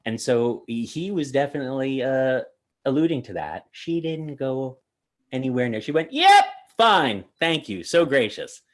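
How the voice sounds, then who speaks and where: A man's voice: mid-range voice, sing-song, a little slow, a bit bright. A man co-hosting a magic review show, chatting casually and rambling through anecdotes with many restarts. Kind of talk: casual panel chat.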